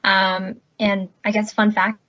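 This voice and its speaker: Eager young woman student, flat delivery. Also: medium pitch, slow pace, muffled recording. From Singapore. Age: young adult. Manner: eager, earnest. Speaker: university student panelist.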